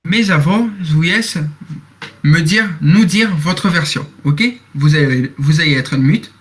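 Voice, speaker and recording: An eighteen-year-old man moderating a roleplay game server over voice chat, speaking in a halting way and repeating himself. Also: on the high side, a bit bright.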